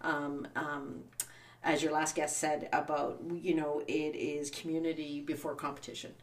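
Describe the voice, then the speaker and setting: Guest, woman, 50s, Canadian accent, low and upbeat, recorded off-mic. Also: little pitch movement, measured pace. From born in Scotland, raised in Canada. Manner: chatty and upbeat, many fillers, laughs while telling stories. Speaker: guest, sewing shop owner. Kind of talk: casual interview chat. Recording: voice distant from the mic.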